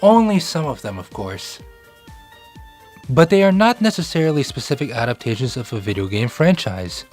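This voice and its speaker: A man's voice: mid-range voice, very animated, moderate pace, darkish tone, soft background music. A man hosting a video essay, delivering scripted narration.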